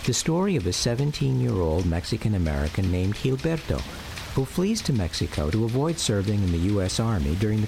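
A man narrating a scripted radio drama about his family in a measured, literary storytelling manner. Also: on the low side, gravelly, background noise. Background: loud music under the voice.

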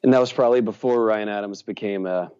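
A man's voice: lowish voice, quick pace, dark tone, smooth, dull audio. A man from Virginia, a musician and journalist, giving rambling casual interview answers full of fillers and restarts.

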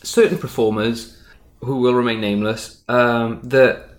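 Animated man chatting casually. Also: medium pitch, measured pace. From Wales. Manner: animated, emphatic, acts out imagined dialogue. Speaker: stage magician being interviewed. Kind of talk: casual conversational interview.

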